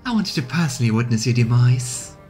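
A man's voice: medium pitch, expressive, brisk, darkish tone, faint music behind, lightly acted. Man putting on a haughty demon princess villain. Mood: angry boasting. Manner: haughty, grandiose.

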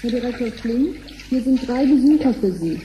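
Woman acting impersonal announcing assistant, dark timbre, roomy echo, radio drama, formal. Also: fairly high pitch, animated, normal speed, a little hiss or hum, lightly acted.

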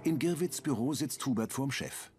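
A man's voice: mid-range voice, normal speed, over loud music. Man reading scene descriptions. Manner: plain, even reading of scene directions. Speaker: audio description narrator. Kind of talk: scripted narration.

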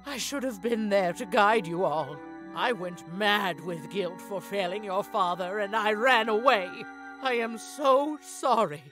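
A woman voicing a stern, solemn armored bodyguard in a video game, with a deliberate delivery. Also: medium pitch, over music, natural voice. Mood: remorseful. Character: stern, remorseful armored bodyguard, a one-armed warrior.

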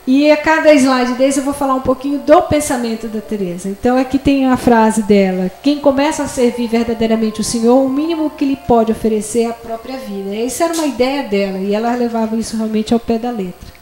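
High-voiced woman lecturing in an echoey room. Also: fairly quick, hardly any pauses, a little mellow. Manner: chatty, asides with "né?". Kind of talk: classroom lecture with slides. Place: small lecture room with projector screen. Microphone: handheld microphone. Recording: echoey room, faint ambience.

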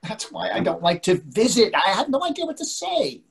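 Give this man's performance of an older stage-comedy husband a husky, rough edge and very animated swings. He is anxious, needling and bossy, forever questioning his wife. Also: high-pitched, a little fast, heavily acted. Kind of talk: readers theatre play reading. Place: indoors on a video call.

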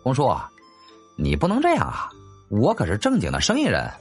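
A man playing young nobleman, cheeky, shrewd, animated intonation, historical audiobook. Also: medium pitch, a little fast, slightly rough, soft background music, heavily acted. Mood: pleading.

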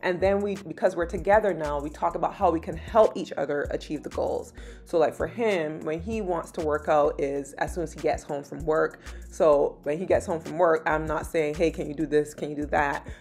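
A woman in her thirties from Barbados talks through her vlog in a low, easygoing voice, pausing often with "um." Soft background music sits faintly behind her narration. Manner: relaxed and chatty, many "um" fillers. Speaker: lifestyle vlogger. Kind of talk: casual vlog voice-over.

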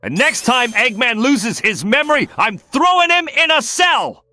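A man voicing a brash, gruff crocodile detective boss who barks out orders in a comic dub. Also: very high voice, very animated, measured pace, bright, forceful tone, full character voice. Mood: urgent, barking.

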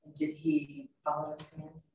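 A woman's voice: high-pitched, big pitch swings, normal speed, gravelly, warm tone. An older woman acting as prosecutor, putting short, orderly questions to a witness in formal court style. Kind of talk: formal direct examination. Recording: echoey, distant video call audio.

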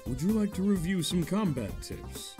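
A man's voice: high voice, animated, normal speed, music in the background. A man voicing a polite, earnest male beetle explorer in a fan dub of a video game. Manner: polite, earnest, slightly formal.

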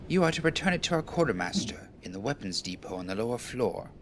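A man's voice: mid-range voice, very animated, fast, slight background noise, natural voice. Man playing a stern officer with swooping intonation. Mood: suspicious. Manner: formal, condescending. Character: stern, suspicious, haughty naval officer at admissions. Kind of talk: game fan-dub acting.

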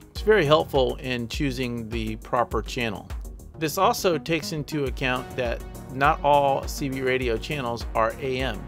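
Creaky-voiced man narrating a tutorial. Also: mid-range voice, fairly bright tone. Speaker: tutorial host. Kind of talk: explanatory tech tutorial narration.

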